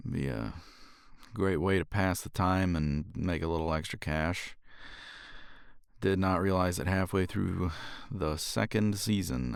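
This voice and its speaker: A man's voice: very deep voice, gravelly, no room sound, clean studio sound, heavily acted. A man playing a cocky, weary champion competitor in an intimate romance ASMR roleplay, flirtatious and teasing, with languid sighs and murmured asides. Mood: wry, weary.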